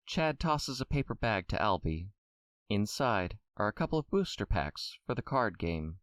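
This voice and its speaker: A man's voice: fairly low pitch, sing-song, normal speed, dry recording, clean studio sound, far from the mic. A man narrating a scripted audio drama as storyteller.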